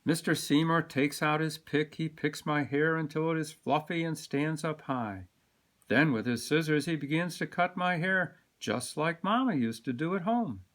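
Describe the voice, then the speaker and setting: A man reading a children's picture book aloud with expressive, dramatic emphasis. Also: on the high side, normal speed. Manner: animated, dramatic delivery with sound-word emphasis. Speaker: storybook reader.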